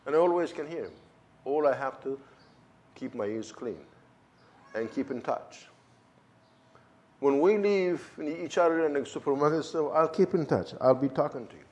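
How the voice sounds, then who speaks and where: A man's voice: a little high, darkish tone. Fervent man with a German-Turkish accent. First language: not English. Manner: emphatic, fervent storytelling with acted-out dialogue. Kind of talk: personal testimony.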